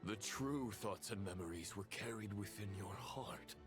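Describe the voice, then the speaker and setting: An actor playing a menacing, scornful male villain in a video game, speaking in a slow, measured way. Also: on the low side, over music, close to an everyday voice. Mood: calculating. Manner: slow, measured, contemptuous delivery.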